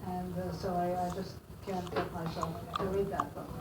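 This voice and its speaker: A woman's voice: fairly low pitch, little pitch movement, reverberant. Older Austrian woman, distant in a noisy room. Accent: Austrian German. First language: German. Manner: halting, many fillers and restarts. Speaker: guest speaker to students. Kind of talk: classroom talk.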